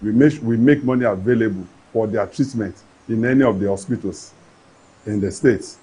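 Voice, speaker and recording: A man's voice: lowish voice, normal speed, dark tone, breathy edge, low-quality recording. A man, an agency official answering interview questions in an earnest, official way. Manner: earnest, official, with some restarts.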